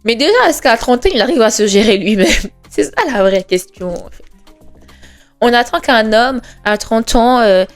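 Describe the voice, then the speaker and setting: A woman's voice: fairly high pitch, expressive, normal speed. A woman in her twenties hosting a podcast, talking in an earnest, conversational way, with frequent hesitations, rhetorical questions and emphatic reassurance. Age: 20s. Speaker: podcast host. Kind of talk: reflective monologue. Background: faint background music.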